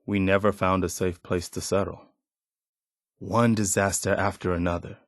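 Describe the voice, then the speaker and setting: Earnest militia leader in a video game, lowish voice, super dry sound, steady believer type. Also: male voice, moderate pace, no room sound. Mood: somber. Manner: earnest, resolute. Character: earnest, dutiful male militia leader rallying settlers. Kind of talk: video game character dialogue.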